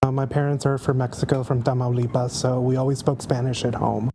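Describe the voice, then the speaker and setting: A meteorologist speaks earnestly in an interview, with some hesitation, in a very flat, even tone. The pitch sits in a middle range. Clear background noise runs underneath. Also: a little fast. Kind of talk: interview answers.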